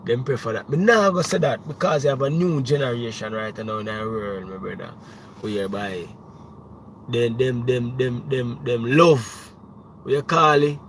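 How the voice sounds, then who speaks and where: A man's voice: mid-range voice, moderate pace, a bit bright, a little hiss or hum. A young Jamaican man, a music producer, talking casually on a livestream, rambling with many restarts and "you know what I mean.".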